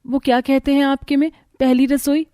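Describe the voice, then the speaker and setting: Woman as a gentle, pleading young bride. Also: high voice, level tone, normal speed, no echo, voice pushed up, close to an everyday voice.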